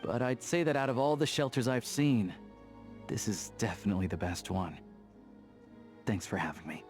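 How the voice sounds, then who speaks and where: A man plays a hardy young man of the snowfields in a video game, his voice on the low side. He is easygoing, sincere and plain-spoken, with firm principles. Music accompanies him. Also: steady tone, moderate pace, a little mellow, natural voice. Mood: impressed, grateful. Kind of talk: video game character voice acting.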